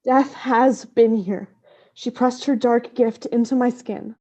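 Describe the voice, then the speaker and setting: An actress playing an ailing woman scholar obsessed with dark magic, intense and portentous, in a gothic radio play. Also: a little high, fairly even intonation, slow, lo-fi sound. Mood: obsessed, ominous. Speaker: actress in an audio drama.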